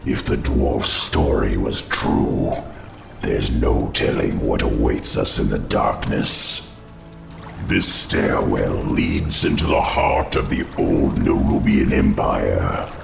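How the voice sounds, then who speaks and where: A deep, gravelly rumble of an ancient undead spider-lord, grim and wary. He speaks in slow, solemn warnings, and the voice sits at the very bottom of the range with heavy fry. It rings with echo over a game score. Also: lively intonation, full character voice. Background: music.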